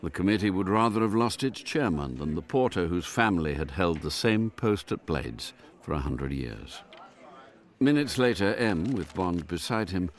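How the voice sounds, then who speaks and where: An actor as a measured, literary narrator telling a spy story in a scripted radio drama. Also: male voice, low-pitched, very animated.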